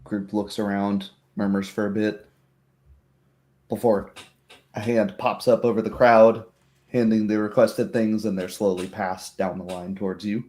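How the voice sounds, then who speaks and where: Man, fairly low voice, game master, tabletop narration. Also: flat delivery, measured pace, darkish tone.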